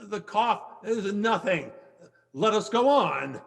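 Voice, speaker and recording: A male actor as a proud, tipsy carnival reveler, stubborn and dismissive in a theatrical period piece. His high voice drags slowly and rings in a long reverb. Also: expressive, vocal fry, fairly bright tone, exaggerated voice. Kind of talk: theatrical period drama acting over video call. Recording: long reverberant echo, music behind.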